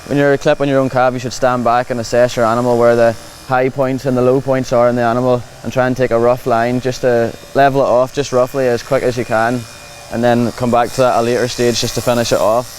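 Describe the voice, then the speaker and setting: A man's voice: mid-range voice, little pitch movement, fast talker, few pauses. A man with a Northern Irish accent giving a plain, practical, reassuring explanation as he commentates on a hands-on demonstration. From Northern Ireland. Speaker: livestock clipping demonstrator. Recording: clear buzzing clipper and machinery noise.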